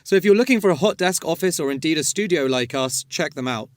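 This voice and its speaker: A man hosting a podcast, reading a polished intro and then asking playful, teasing quick-fire questions. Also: on the high side, expressive, dry recording, clean studio sound. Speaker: podcast host. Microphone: studio mic.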